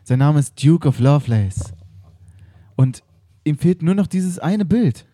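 A man's voice: on the high side, lively intonation, a little slow, dark tone, no room sound. Man playing flustered, stammering curator. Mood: rambling. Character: fawning, rambling male museum curator. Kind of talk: improvised comedy radio play.

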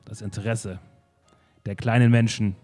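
A man with a lowish, mellow voice plays a detective in an improvised radio play. He lays out the case in a calm, deliberate way. Faint music runs behind him. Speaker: improv actor playing the detective.

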